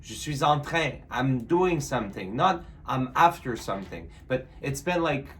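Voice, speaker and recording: A man's voice: mid-range voice, expressive, a little slow, a bit bright, slight background noise. A man who teases his partner and lectures him, in a casual chat. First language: French. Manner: teasing, bossy. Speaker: vlogger's boyfriend.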